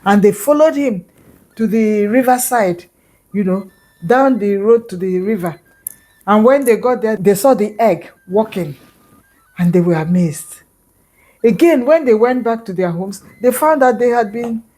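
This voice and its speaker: Storyteller, female, Nigerian accent, higher-pitched voice, conversational folktale delivery with explanatory asides. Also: lively intonation, measured pace, warm tone, poor audio. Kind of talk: oral folktale narration.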